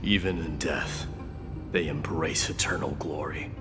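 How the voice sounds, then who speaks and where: An actor playing a proud, gruff warrior prince in a video game, speaking in a solemn, haughty, terse way. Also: male voice, very deep voice, fairly even intonation, measured pace, over music. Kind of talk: scripted video game voice acting.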